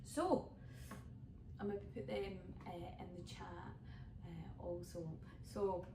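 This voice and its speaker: A woman's voice: lowish voice, steady tone, slow pace. A woman with a Scottish accent from the west coast of Scotland, a folk singer chatting casually and rambling a little as she introduces her songs for an online showcase. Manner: chatty, rambling storyteller with many fillers. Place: home room streaming online. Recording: roomy, distant mic with background noise.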